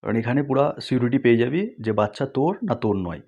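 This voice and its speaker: A man's voice: mid-range voice, fast talker, creaky, a little mellow, highs cut off. A man voicing a practical, confident friend in a comedy cartoon, speaking. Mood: earnest.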